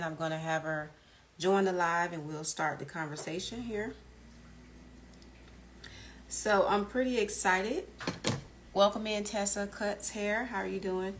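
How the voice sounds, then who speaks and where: A woman hosting a livestreamed podcast, greeting viewers warmly and asking long, rambling questions. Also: lowish voice, slight background noise. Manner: upbeat, welcoming, rambling questions. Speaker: podcast host.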